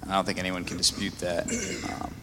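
A man speaking as a city councilman at a public meeting, halting with fillers and restarts. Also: low-pitched, completely flat delivery. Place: council chamber. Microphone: meeting microphone. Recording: echoey chamber, faint noise.

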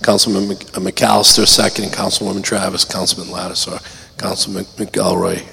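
A man chairing a council public hearing, hoarse and losing his voice, clearing his throat. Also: deep voice, level tone, a little fast, bright, forceful tone. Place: council chamber. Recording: echoey chamber, faint noise.

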